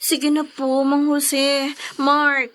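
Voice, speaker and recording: A woman's voice: high-pitched, completely flat delivery, drawn-out words, fairly bright tone, exaggerated voice. A woman acting in a radio drama as an earnest young woman, a loyal and concerned best friend. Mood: urgent, pleading.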